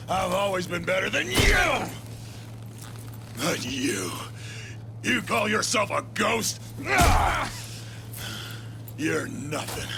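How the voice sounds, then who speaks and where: An actor playing a sadistic, taunting renegade soldier with a menacing, mocking drawl in a video game cutscene. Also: male voice, high-pitched, creaky, background noise, heavily acted. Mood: furious. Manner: menacing, mocking drawl, swinging from calm to shouting.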